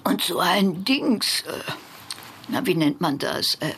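A woman's voice: fairly low pitch, animated, takes it slowly, fairly bright tone, a little hiss or hum. An actress playing an ailing elderly mother who complains and rambles to her grown son in a radio drama. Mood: querulous, rambling. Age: older. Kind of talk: naturalistic radio drama acting.

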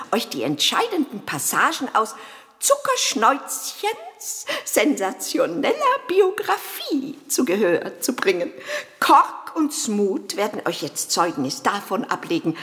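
An actress playing a prim, bossy hostess of an evening revue in a radio play, with formal, flowery diction. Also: female voice, high-pitched, fairly bright tone, full character voice. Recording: reverberant hall.

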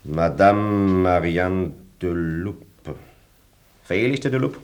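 An actor playing a stiff, officious German lieutenant in a radio drama, speaking in pompous, formal military officialese. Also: male voice, sits low, lively intonation, slow pace, darkish tone, heavily acted. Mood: brusque.

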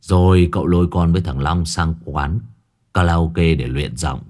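Brief: cynical crude slacker, low mumbling growl, as a storyteller puts him on. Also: male voice, normal speed, a little mellow, faint music behind, lightly acted. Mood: mocking. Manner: gruff, crude, mocking. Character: lazy gruff young male sidekick, crude and cynical.

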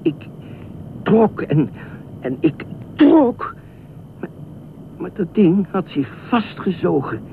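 Tinny old radio drama, a nervy obsessive lifeguard, highish voice, hesitant and pleading, goes on and on. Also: male voice, big pitch swings, slow pace, gravelly, pauses often, exaggerated voice. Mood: troubled, dreamy. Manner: rambling, hesitant, repeats himself, insistent. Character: eccentric talkative male lifeguard, anxious, pleading and obsessive. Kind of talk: radio play acting. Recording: tinny band-limited radio play, faint noise.

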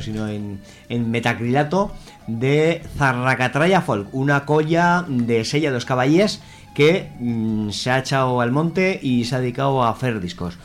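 A man hosting a radio show, presenting music casually and pausing to search for his words. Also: mid-range voice. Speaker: radio host.

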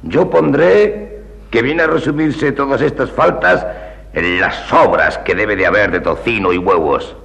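Gravelly, theatrical hungry traveller. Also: male voice, mid-range voice, a little slow, fairly bright tone. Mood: wry, demanding. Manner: theatrical, old-fashioned diction. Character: hungry traveller grumbling at an inn, Spanish classic. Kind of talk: vintage radio drama acting. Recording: reverberant archive radio recording.

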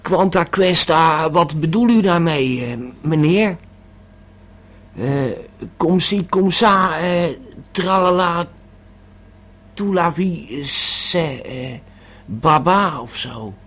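Man acting a slow, stammering, bewildered tripper. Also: fairly high pitch, animated, a bit bright, full character voice. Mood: loud pleading. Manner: stammering, hesitant, repeating words. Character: panicky, bewildered male holidaymaker tripping on a pill. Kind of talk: first-person audio drama monologue.

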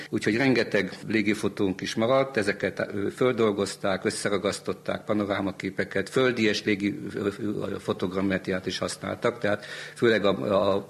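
A man giving detailed, halting expert explanations in an interview, often correcting himself. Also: on the low side, vocal fry, husky. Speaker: interviewed military map historian.

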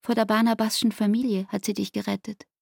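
An actress playing an anguished, devoted young barmaid in an intimate radio play, with speech and a breathy, half-whispered, intense delivery. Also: female voice, mid-range voice, level tone, fast, no echo, clean studio sound. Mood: tender, anguished.

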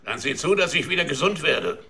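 A man's voice: on the high side, lively intonation, pushed and bright, husky, heavily acted. An actor playing a grumpy, imperious old king in a children's radio drama, curt and commanding. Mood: impatient, commanding. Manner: curt, commanding, slow drawl.

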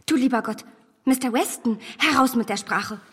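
An actress playing a self-possessed, impatient young gentlewoman in a period radio drama, polite, articulate and insistent. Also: on the high side, normal speed, breathy edge, long reverb tail. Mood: anxious, insistent.

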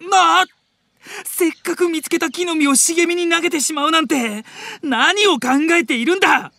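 A man's voice: very high-pitched, moderate pace, gravelly, sharp tone, soft background music, heavily acted. A man voicing a serious older brother who speaks stiffly and gets irritated easily, in a drama CD. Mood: exasperated. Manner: stiff, formal, exasperated. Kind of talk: anime drama CD acting.

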